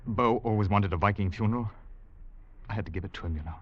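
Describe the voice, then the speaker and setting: An actor playing a loyal young English soldier, halting and understated in his grief, in a 1940s radio drama. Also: lowish voice, breathy edge. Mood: grieving, bitter. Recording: muffled noisy 1940s radio recording.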